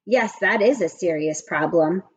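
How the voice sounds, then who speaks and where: A woman's voice: on the low side, measured pace, slightly rough, dull audio. A woman reading a children's storybook, voicing a sly male schoolyard teacher who coaxes and bargains. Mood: mock helpful. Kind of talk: children's storybook read-aloud.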